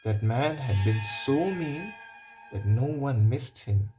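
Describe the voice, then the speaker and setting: A man reading a picture book aloud to children, pausing to explain things and put questions to them. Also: mid-range voice, expressive, music in the background, low-quality recording. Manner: pauses to ask and explain to young listeners. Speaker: storybook reader. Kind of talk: read-aloud narration for children.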